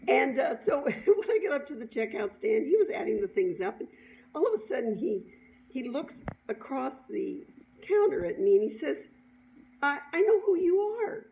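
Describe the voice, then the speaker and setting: A middle-aged woman telling an informal personal story in an animated, chuckling way, as an author sharing her own work. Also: a little high, moderate pace. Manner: animated, chuckling, acts out dialogue. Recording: dull lo-fi sound, clear background noise.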